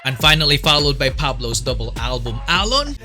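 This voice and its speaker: A man's voice: medium pitch, big pitch swings, fairly quick, loud music behind the voice. A man narrating a fan-made documentary from a script, in a chatty way that asks the viewer questions.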